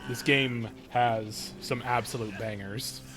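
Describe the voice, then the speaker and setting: A man's voice: medium pitch, fairly even intonation, slow, music in the background. A man chatting casually on a live game stream, rambling and correcting himself, with some swearing. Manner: rambling, profane, self-correcting. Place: live stream with game music and dialogue behind.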